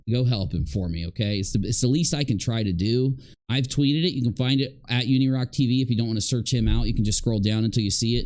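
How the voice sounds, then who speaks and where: A man's voice: mid-range voice, darkish tone. A man hosting a livestream, ranting casually in an emphatic, repetitive and accusatory way. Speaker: livestream host and video creator. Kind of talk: casual rambling livestream rant.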